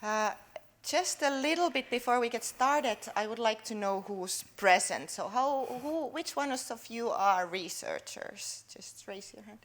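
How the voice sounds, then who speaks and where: A woman's voice: fairly high pitch, lively intonation, a little slow, fairly bright tone. Researcher, woman, conference workshop talk, friendly and full of fillers. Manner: friendly, loose, with frequent fillers and restarts. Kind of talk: workshop presentation. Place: conference room.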